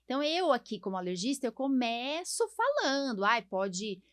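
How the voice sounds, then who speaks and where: A woman's voice: on the high side, big pitch swings, normal speed, no room sound. Brazilian woman podcast host, dry sound. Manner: informal, frequent check tags. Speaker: podcast host, allergist doctor. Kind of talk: casual conversational podcast.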